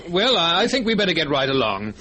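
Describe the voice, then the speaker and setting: A man's voice: fairly high pitch, sing-song, fairly quick, pushed and bright. A man playing a genteel retired colonel who chairs and narrates in an old-time radio drama, formal, precise and polite. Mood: polite, flustered. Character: genteel, fussy retired British colonel, society president.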